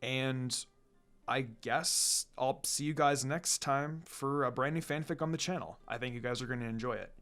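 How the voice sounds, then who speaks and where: An expressive reader and channel host narrating anime fan fiction in a casual, storytelling style. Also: medium pitch, normal speed. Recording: background music.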